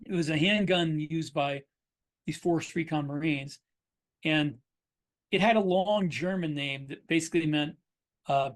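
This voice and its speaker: A man's voice: a little high, unhurried, long pauses. A man chatting casually about his craft as a podcast host and writer. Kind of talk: casual shop talk.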